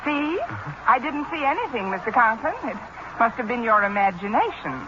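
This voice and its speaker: Wry, animated woman in old radio comedy. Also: a little high. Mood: brisk, covering up. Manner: wry, brisk, expressive. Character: quick-witted, wry adult woman schoolteacher, cool under pressure. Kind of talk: 1940s radio sitcom acting. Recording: muffled, very noisy 1940s radio recording.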